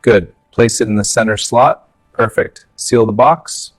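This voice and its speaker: A man's voice: mid-range voice, a little slow, no room sound, faint music behind, rough recording. A man speaking short scripted lines as a factory worker and documentary narrator.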